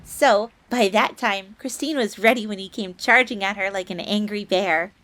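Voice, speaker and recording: A woman's voice: mid-range voice, moderate pace, fairly bright tone, natural voice. A woman playing a bubbly, devoted fox maid with a fierce streak, eagerly deferential and speaking of herself in the third person in an audio roleplay. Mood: proud, animated storytelling. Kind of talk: dramatic audio roleplay storytelling.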